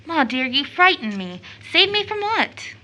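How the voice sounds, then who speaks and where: Devout, earnest young girl in audio drama; high, bright voice, fervent, emotionally heightened delivery. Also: very animated, a little slow, heavily acted. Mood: anxious, bewildered. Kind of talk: stage-play audio drama acting.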